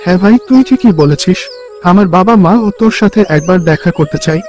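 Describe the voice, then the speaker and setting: Cartoon casting: generous childhood pal from the village male actor, high-pitched, brotherly and reassuring, music underneath. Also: brisk, a little mellow, close to an everyday voice. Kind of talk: cartoon folk tale dialogue. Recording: music behind the voice.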